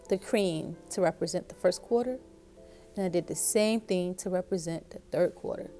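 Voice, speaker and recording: Woman, mellow tone, children's story time host, encouraging, question-filled delivery, music behind. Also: mid-range voice. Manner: encouraging, addressing young listeners with questions. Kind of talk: storybook read-aloud and craft instruction for young children.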